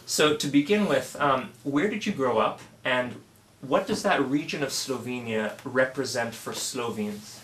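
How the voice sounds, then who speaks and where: Formal, measured male interviewer. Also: medium pitch, a bit bright. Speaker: interviewer, author. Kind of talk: scripted introduction and interview questions.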